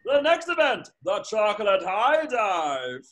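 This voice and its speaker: A man's voice: high voice, huge pitch swings, fairly bright tone, exaggerated voice. A man playing a showy, easily amazed cartoon contest announcer with a dramatic delivery. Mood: ceremonious. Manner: drawn-out, dramatic. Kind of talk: cartoon sports commentary.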